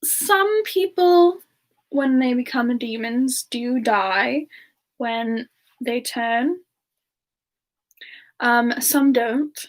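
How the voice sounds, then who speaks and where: An affectionate frost demon girl acted by a woman chats with fans in a semi-ASMR style. Her voice is high and soft, edging toward a whisper. She takes her time, hesitating gently as she answers. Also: drawn-out words, pauses often. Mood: relaxed, chatty. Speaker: ASMR character performer answering viewer questions. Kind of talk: semi-ASMR in-character livestream Q&A.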